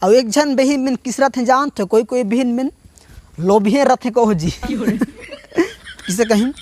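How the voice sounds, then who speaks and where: A male stand-up comedian with a Chhattisgarhi accent and a hoarse throat, chatting to viewers and laughing often between lines. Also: very high-pitched, unhurried, a little mellow, no echo. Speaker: stand-up comedian and channel host. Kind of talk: casual stand-up comedy monologue.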